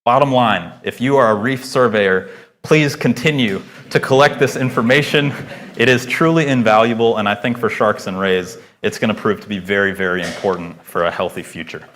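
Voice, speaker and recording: A man's voice: on the low side, normal speed, fairly bright tone, a little hiss or hum. A man in his thirties giving an earnest, emphatic research presentation to a live audience, speaking into a microphone. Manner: earnest, emphatic lecturing with rising stresses. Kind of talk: conference talk with Q&A. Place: lecture hall before an audience.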